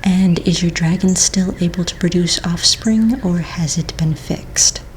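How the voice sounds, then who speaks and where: A woman playing a polite, patient office manager in a soft-spoken ASMR roleplay, with a breathy near-whisper and gentle, unhurried delivery. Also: lowish voice, close to an everyday voice, low-quality recording. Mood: calm, polite. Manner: gentle, unhurried, hesitant pauses while checking paperwork. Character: polite, patient female office manager at fantasy pet registry. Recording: faint-to-clear background noise, keyboard typing. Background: computer keyboard typing.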